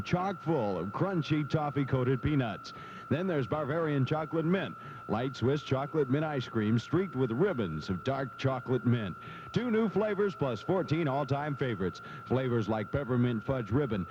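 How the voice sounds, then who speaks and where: A man announcing a 1970s radio commercial in a salesy, descriptive style. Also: background noise. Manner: salesy, descriptive product pitch. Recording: dull vintage radio recording with music. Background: music.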